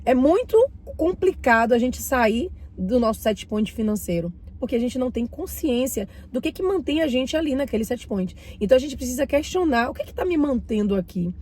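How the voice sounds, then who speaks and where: Bahian woman, husky mellow voice. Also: fairly high pitch, animated, brisk. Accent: Bahian Brazilian Portuguese. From Bahia, Brazil. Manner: warm, chatty, addresses viewers directly. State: getting over a cold. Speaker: mindset and finance channel host. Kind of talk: casual talk to camera, reading and commenting a book.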